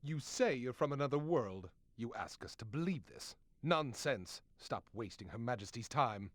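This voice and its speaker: Actor as suspicious royal courtier, male, medium pitch, haughty, stern, formal, dismissive, dry recording. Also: lively intonation, normal speed, fairly bright tone, dry recording, lightly acted. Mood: scornful. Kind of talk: scripted video game voice acting.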